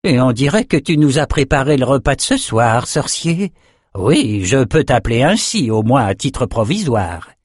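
A man's voice: mid-range voice, sing-song, normal speed, breathy edge, no echo, studio quality, voice pushed up. A man voicing a smug, menacing male sorcerer in a fantasy audiobook, theatrical and taunting.

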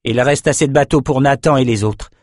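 A man voicing a calm, sensible military captain in a fantasy audiobook. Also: mid-range voice, fairly quick, no room sound, clean studio sound, pitched above the performer's own voice, close to an everyday voice. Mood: measured.

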